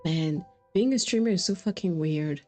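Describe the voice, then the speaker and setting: A woman's voice: fairly low pitch, moderate pace, warm tone. A woman doing casual live game commentary as a streamer, informal and joking, speaking into a microphone. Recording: game music behind the voice. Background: faint game music.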